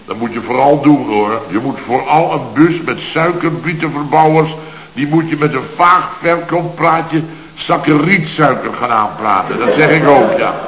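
An actor playing a blustering, indignant, pompous company boss in a radio comedy serial, speaking in mock-formal, long-winded phrases. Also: male voice, on the high side, moderate pace, noisy background, lightly acted. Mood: exasperated. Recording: roomy, dull old radio recording.

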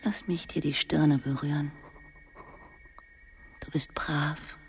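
A woman playing a dying, sorrowful schoolmistress in an intimate radio drama, breathy, half-whispered, tender and confiding. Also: sits low, level tone, a little hiss or hum, exaggerated voice. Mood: anguished, faint. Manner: slow, hushed, confiding, tender. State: weak, dying (acted).

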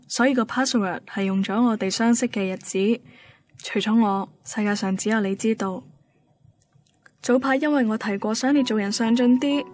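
A woman's voice: a little high, darkish tone, lightly acted. An actress playing a caring, demanding yet tender young girlfriend in a radio drama, speaking Hong Kong Cantonese. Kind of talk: radio drama acting and first-person narration. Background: music.

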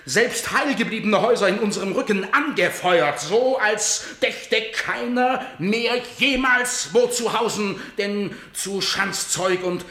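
An actor playing a messenger who reports a lost battle, in an urgent, grave declamation of classical verse. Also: male voice, high-pitched, expressive, slow pace, few pauses, pushed and bright, heavily acted. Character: male messenger bringing news of defeat. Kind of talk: classical verse radio drama. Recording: roomy studio echo.

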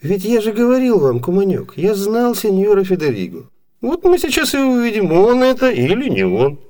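Radio comedy role: genial, shrewd innkeeper, mellow timbre, high lively intonation. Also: male voice, moderate pace. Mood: knowing. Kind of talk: theatrical comic radio play acting.

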